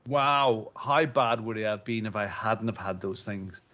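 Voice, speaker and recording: A man co-hosting a casual podcast, chesty with a lingering cough, rambling with halting restarts. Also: on the low side, bright, forceful tone, dull audio. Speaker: podcast co-host. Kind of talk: casual chat.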